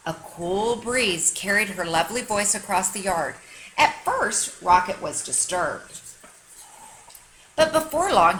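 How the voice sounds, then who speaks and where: A woman's voice: on the high side, sharp tone, slight background noise, rough recording. A woman teacher reading a children's story aloud expressively, with an emphatic, teacherly delivery aimed at young children. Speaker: kindergarten teacher.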